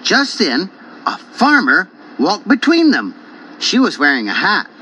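High-voiced, animated male storyteller. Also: unhurried, slight background noise. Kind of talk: animated scripted folk tale narration. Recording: dull cassette tape.

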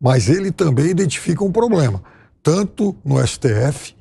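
A man's voice: a little high, sing-song, normal speed, creaky, warm tone, husky. A middle-aged man commenting on a TV news panel in a studio, thoughtful and hesitant with restarts. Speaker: political commentator.

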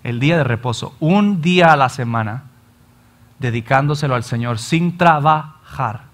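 A Salvadoran pastor in his thirties preaching an animated sermon from a church stage, often acting out his listeners' complaints. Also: medium pitch, normal speed. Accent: Salvadoran Spanish. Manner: animated, gestures widely, acts out listeners' complaints. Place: church stage in front of a large screen.

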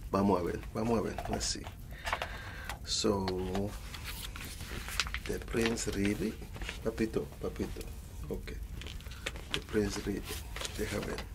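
A man playing an affectionate old grandfather with a Latin American Spanish accent, softly telling a bedtime story in an ASMR roleplay, rambling and repeating endearments as he switches between Spanish and English. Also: on the low side, little pitch movement, unhurried, creaky, pauses often, heavy background noise. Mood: patient, storytelling.

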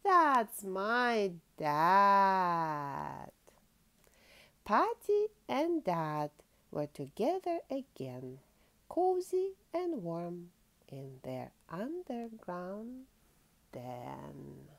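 Female storytime host: very slow, highly expressive children's read-aloud with some vocal fry. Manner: asks listeners questions.

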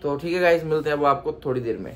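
A man's voice: on the high side, racing, fairly bright tone. A man in his thirties talks casually to his phone held at arm's length, teasing and easygoing. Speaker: vlogger. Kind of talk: casual family vlog chat. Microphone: phone selfie at arm's length.

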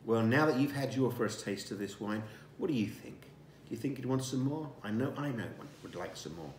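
Relaxed older winemaker in an echoey room. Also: male voice, mid-range voice, brisk, slight background noise. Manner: relaxed, savoring, many fillers and restarts. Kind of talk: casual wine tasting presentation. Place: indoor dining room at a table.

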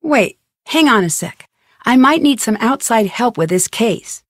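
Casting: a determined, curious young woman detective in a mystery game; higher-pitched voice, clear diction, very dry recording. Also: expressive, a little slow, no room sound. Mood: inquisitive. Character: young female amateur detective, inquisitive and determined. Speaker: voice actress in a detective adventure game. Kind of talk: scripted video game dialogue.

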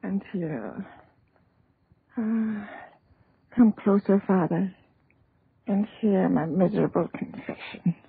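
Raspy, dying old Irish widow. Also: female voice, medium pitch, animated, slow pace, pauses often, no room sound, exaggerated voice. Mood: anguished, weak. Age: older. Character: dying old Irish village widow, guilt-ridden and confessing. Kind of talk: 1930s radio drama acting. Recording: muffled, narrow old radio recording.

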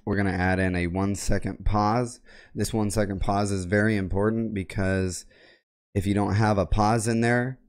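A young man with a raspy, sick voice giving step-by-step software instructions in a plain, methodical way, clearing his throat now and then, recorded at home on a boom mic. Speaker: tech tutorial creator. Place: home gaming room, indoors. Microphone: boom mic on arm with headset.

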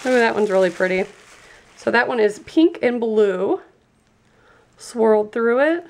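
Stuffy-nosed woman with a gravelly voice. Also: fairly high pitch, unhurried. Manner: chatty, describes colors and scents. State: stuffy and congested, sore throat. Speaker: product reviewer. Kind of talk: casual unboxing review. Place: indoors at a tabletop.

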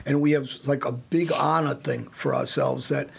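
A middle-aged American man with a gravelly, husky voice sits at a boom mic in a small podcast studio. He speaks earnestly and proudly, circling back over his points in a casual chat. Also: steady tone, normal speed. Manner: proud, earnest, repeats himself. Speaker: podcast host. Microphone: desk mic on boom arm.

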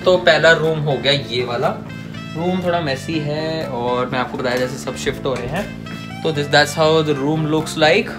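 A man's voice: fairly high pitch, a little slow, music in the background. A man in his twenties giving a casual, jokey tour of his small apartment, talking into a phone held at arm's length and mixing English into his Hindi. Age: 20s. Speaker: vlogger. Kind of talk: casual home-tour vlog.

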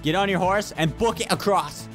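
A man hosting a gaming video, chatting excitedly with friends and urgently directing them. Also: high voice, moderate pace, faint music behind. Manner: animated, urgent, directing the others. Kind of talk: excited casual gameplay banter with friends.

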